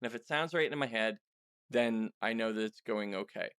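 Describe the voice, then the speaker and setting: A man's voice: lowish voice, moderate pace, a bit bright, clean tone, no echo, clean studio sound. A man hosting a casual interview, talking candidly and self-deprecatingly with rambling asides. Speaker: podcast host and audio drama writer.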